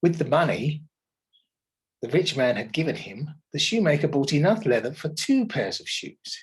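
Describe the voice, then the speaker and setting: A teacher with an English accent reading a children's story aloud with expressive delivery, then asking the listeners questions about it. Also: male voice, a little high, normal speed, husky, low-quality recording.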